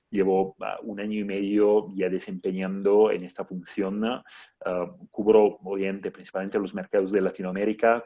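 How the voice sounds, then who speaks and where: A man in his thirties from Italy with an Italian accent, a guest in a live online interview, speaking in a measured way with many filler sounds. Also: deep voice, darkish tone.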